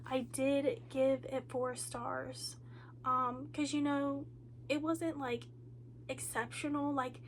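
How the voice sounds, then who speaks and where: High, slow-talking congested woman. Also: level tone, slight background noise. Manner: rambling with many ums and likes. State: congested, nasal from a cold; tired and low on energy. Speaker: booktuber. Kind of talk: casual reading update vlog.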